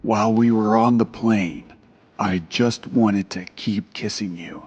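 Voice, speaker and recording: An actor playing a devoted, wealthy suitor in a romance audio drama, speaking tenderly and earnestly. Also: male voice, fairly low pitch, unhurried, a little mellow, breathy edge, highs cut off. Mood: amorous.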